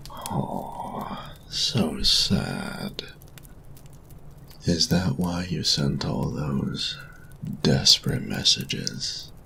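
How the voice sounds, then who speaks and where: Man acting very deep, gravelly, cocky flirty bully. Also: a bit bright, exaggerated voice. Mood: smug taunting. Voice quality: breathy, gravelly low voice. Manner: slow smug drawl, taunting. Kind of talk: intimate roleplay audio acting.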